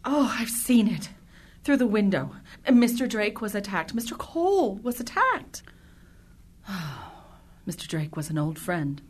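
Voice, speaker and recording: A woman's voice: medium pitch, sing-song, unhurried, pauses often, breathy edge. A woman playing an eccentric, jumpy, militant older chemistry teacher in a radio drama, theatrical and commanding. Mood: wistful.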